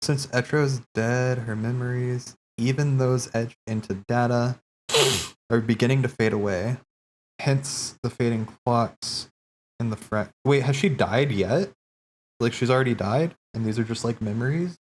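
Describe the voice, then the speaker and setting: A man's voice: medium pitch, a little slow, slight background noise, rough recording. A man streaming a reaction to game cutscenes, chatting casually and thinking aloud with lots of fillers and restarts. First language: English. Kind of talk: casual livestream chat.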